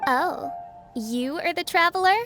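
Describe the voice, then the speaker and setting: A woman's voice: very high-pitched, expressive, a little slow. A woman voicing a grandiose young self-styled princess in a video game, speaking in archaic, dramatic phrases. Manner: archaic, pompous, dramatic speech. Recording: game audio with faint music.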